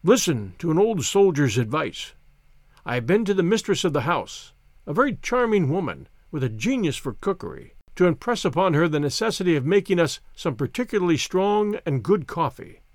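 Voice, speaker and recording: An old French grenadier, acted out by a male narrator in a storybook tale, grandiloquent and flattering. His voice sits high and swings widely, heard close and dry. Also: moderate pace, no echo. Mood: solemn, confidential. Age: older. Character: effusive old French soldier, flattering, theatrical, wily.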